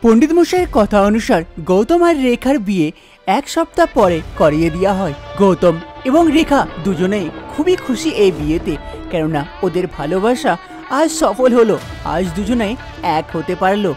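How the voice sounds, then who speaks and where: প্রাণবন্ত ওঠানামার মহিলা গল্পকথক. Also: female voice, medium pitch, big pitch swings, normal speed, music in the background. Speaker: narrator. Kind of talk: scripted cartoon story narration.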